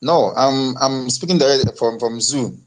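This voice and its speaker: A man calling into a live audio chat room, speaking hesitantly and softly with many restarts. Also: medium pitch, a little slow, crowd noise, rough recording. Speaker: caller.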